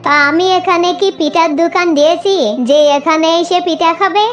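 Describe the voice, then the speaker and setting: Cartoon fox villager, acted: extremely high pitch, emphatic theatrical delivery for children's animation, soft music underneath. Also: steady tone, moderate pace. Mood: scornful. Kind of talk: Bengali children's cartoon dubbing.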